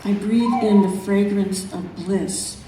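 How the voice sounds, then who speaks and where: A woman poet reading her own work at a live event, in measured, deliberate phrases with pauses. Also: mid-range voice, fairly even intonation, heavy background noise. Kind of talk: poetry reading at a live event, with brief spoken introductions. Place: echoey room at an arts event. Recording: very echoey room, distant mic, music.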